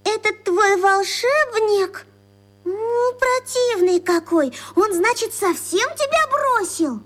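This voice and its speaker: A woman's voice: very high voice, lively intonation, slow pace, fairly bright tone, full character voice. A woman voicing a bossy, decisive and earnest little schoolgirl in a children's radio play. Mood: brisk, dismissive.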